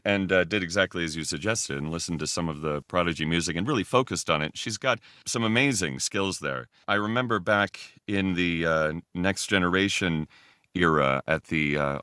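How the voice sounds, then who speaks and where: Deep-voiced man with a bright edge. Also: clean tone, no echo, studio quality. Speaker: podcast guest. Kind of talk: casual discussion on a fan podcast.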